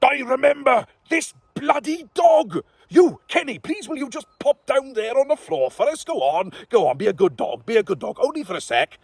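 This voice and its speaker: A man voicing a brash, bewildered ancient king who shouts and demands. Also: high-pitched, very animated, fairly quick, few pauses, husky, no room sound, voice pushed up, full character voice. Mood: demanding.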